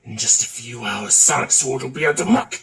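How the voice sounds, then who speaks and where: Theatrical man with a wildly swinging pitch. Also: expressive, fairly quick, creaky, fairly bright tone, recorded from a distance. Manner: theatrical, switching between many character voices. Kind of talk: voice acting demo reel of game and cartoon character impressions.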